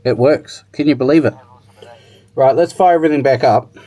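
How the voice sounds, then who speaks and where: A man's voice: medium pitch, normal speed, warm tone. A man talking informally and thinking aloud as he gives a casual hands-on demo and review of gear. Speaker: motorcycle tech reviewer.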